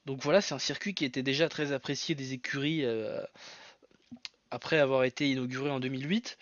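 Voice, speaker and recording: A man's voice: medium pitch, steady tone, a little fast, dry recording, highs cut off. A man hosting his own podcast alone, with a Portuguese mother tongue, talking in a hesitant way full of fillers and restarts. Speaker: podcast host. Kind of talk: solo podcast talk.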